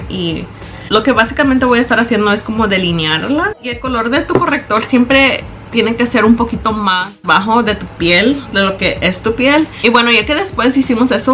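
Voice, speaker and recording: A woman's voice: medium pitch, pushed and bright. A young woman filming a makeup tutorial in her bedroom, talking into a camera in front of her and explaining each step in chatty Spanish with English words slipping in. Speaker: beauty vlogger. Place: small bedroom indoors. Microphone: camera in front at close range.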